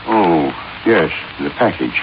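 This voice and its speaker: An actor playing a rich, middle-aged industrialist who speaks in curt, repetitive, trance-like commands in a 1950s style radio drama. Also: male voice, sits low, animated, takes it slowly. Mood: entranced, urgent. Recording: noisy, dull old radio recording.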